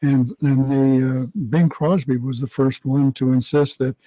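A man in his seventies, a guest historian, explaining things haltingly in a radio studio interview. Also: medium pitch, flat delivery, dark tone, no echo. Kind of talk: informative interview talk. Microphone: boom studio mic.

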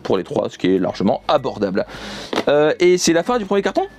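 A man's voice: a little high, very animated, fairly quick, background noise. A young man chatting off the cuff as an enthusiastic vlogger, often repeating himself. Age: young adult. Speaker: YouTuber presenting a manga unboxing. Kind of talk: casual unscripted vlog chat. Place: indoor room with manga shelves.

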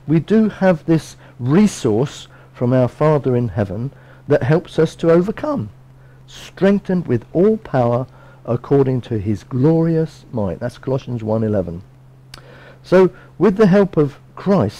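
A middle-aged man giving a sermon from a lectern in a small bright room, earnestly explaining and reading scripture verses aloud. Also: medium pitch, poor audio. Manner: measured, earnest, reads verses aloud from a book. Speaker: preacher.

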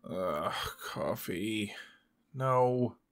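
An actor voicing a weary, wry superhero archer in a motion comic, with a deadpan, understated delivery. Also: male voice, lowish voice, drawn-out words, exaggerated voice. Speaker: voice actor narrating and playing lead.

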